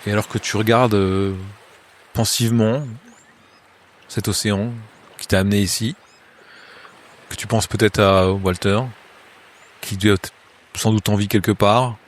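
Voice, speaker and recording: A man running a tabletop horror game as game master, narrating scenes to a player in a halting way with many hesitations. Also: on the low side, little pitch movement. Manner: hesitant, many filler sounds and restarts; second-person scene narration.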